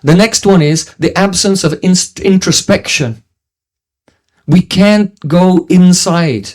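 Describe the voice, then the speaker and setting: A man's voice: sits high, slow, darkish tone. Earnest man giving a devotional lecture. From New Zealand. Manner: earnest teaching tone, frequent restarts, chanted Sanskrit verses. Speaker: spiritual teacher.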